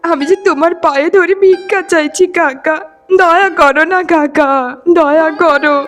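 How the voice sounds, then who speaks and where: A woman's voice: extremely high pitch, fairly bright tone. A woman voicing a poor, desperate daughter in a melodramatic cartoon, imploring tearfully and repeating her pleas. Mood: tearful pleading. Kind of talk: melodramatic Bengali cartoon voice acting.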